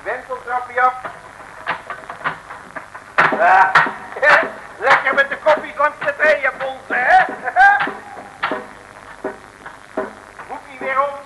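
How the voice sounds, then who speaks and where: An actor playing a bossy, jovial detective in a mid-century Dutch radio comedy, exclamatory and colloquial. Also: male voice, extremely high pitch, very animated, takes it slowly, long pauses, heavily acted. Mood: gleeful. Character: boisterous, bossy, jovial male comic detective. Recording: hissy 1950s radio recording.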